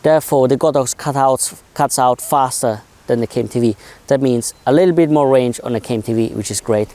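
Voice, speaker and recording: A man's voice: mid-range voice, fairly quick, mellow. A man giving a casual, informal technical explanation as a co-reviewer of film gear.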